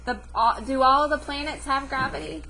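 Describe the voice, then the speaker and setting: A woman's voice: sits high, steady tone, normal speed, gravelly, bright, forceful tone, a little hiss or hum. A woman teacher reading a science book aloud to children, explaining as she goes and checking understanding with short questions.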